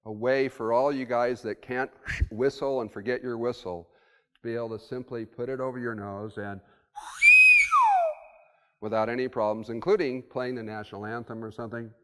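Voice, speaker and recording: A man's voice: medium pitch, fairly even intonation, normal speed, very noisy. A man in his sixties giving a conversational keynote at a podium in a conference room, thinking aloud with fillers. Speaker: keynote speaker. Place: hotel conference room with audience. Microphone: podium mic. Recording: long reverb of a conference hall.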